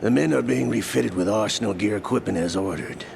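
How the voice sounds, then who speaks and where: Deep, gravelly scheming henchman. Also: a little fast, a little mellow, slight background noise. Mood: scheming, deferential. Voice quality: low, gravelly. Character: sly, theatrical villain henchman, subordinate schemer. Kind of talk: dramatic video game cutscene acting.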